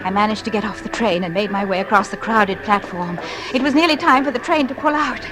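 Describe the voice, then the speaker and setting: A woman's voice: medium pitch, brisk, over loud music, natural voice. An actress playing a lonely young married woman, narrating a confession with intense feeling in 1940s radio style. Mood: tense, confessional. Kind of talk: 1940s radio acting, dramatic first-person narration.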